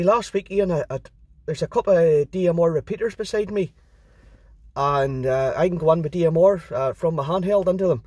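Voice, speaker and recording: A man's voice: a little high, darkish tone, no echo. A man chatting casually as an amateur radio operator, recorded on a handheld phone inside a car.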